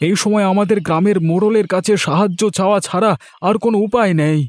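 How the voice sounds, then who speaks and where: A man's voice: high-pitched, quick pace, darkish tone, dry recording, close to an everyday voice. A man playing a concerned, helpful village farmer in a cartoon, talking in an exclamatory, sympathetic way. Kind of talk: cartoon voice acting. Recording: music behind the voice.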